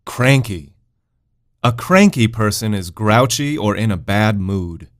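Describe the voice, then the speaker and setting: A man narrating a scripted vocabulary lesson, deliberately stating words and their definitions in an instructional manner. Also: lowish voice, very animated. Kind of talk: scripted educational narration, word then definition.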